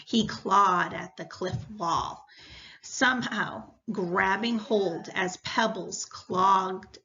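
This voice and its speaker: A woman teacher reading a story aloud to students in a deliberate, instructional way. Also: medium pitch, pushed and bright, slight background noise. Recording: dull, lo-fi sound.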